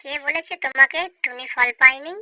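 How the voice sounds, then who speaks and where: A spiteful female crow from a children's cartoon, acted by a woman. The voice is high and extremely bright, cutting through in a dry, close recording. She talks in a nosy, needling way, always scheming. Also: steady tone, fairly quick, dry recording. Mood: indignant, vengeful.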